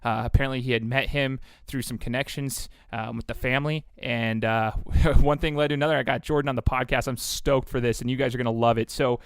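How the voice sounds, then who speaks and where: A man's voice: mid-range voice, fairly even intonation, brisk, few pauses, smooth, no echo. Upbeat man hosting a sports podcast. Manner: upbeat, chatty, many fillers. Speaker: podcast host. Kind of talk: casual sports interview.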